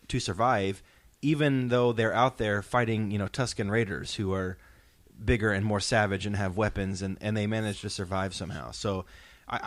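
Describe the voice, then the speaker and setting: A man's voice: on the low side, no room sound. A middle-aged man, hosting a casual podcast discussion. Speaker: podcast host.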